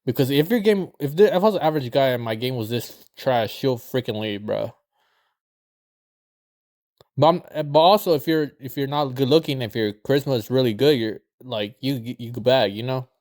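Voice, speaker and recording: A man's voice: mid-range voice, moderate pace, darkish tone. Slangy, profane young man reacting. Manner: rambling, slangy and profane, with bursts of mock outrage. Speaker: reaction commentator. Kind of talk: casual reaction commentary.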